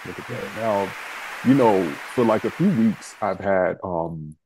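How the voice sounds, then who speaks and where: A man's voice: lowish voice, unhurried, noisy background. A man hosting an interview over a video call, chatty and teasing. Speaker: host. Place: indoor room at home. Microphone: video call webcam.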